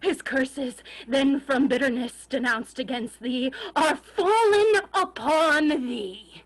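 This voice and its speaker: A woman's voice: high-pitched, slow, low-quality recording. A woman playing a contemptuous royal villain, declaiming accusations in classical stage verse. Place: remote video-call staged reading.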